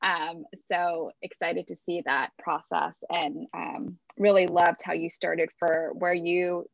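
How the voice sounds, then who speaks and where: A woman's voice: fairly low pitch, level tone, takes it slowly, gravelly, no room sound, dull audio. A woman hosting an online session, upbeat and encouraging, speaking over a video call. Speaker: program host.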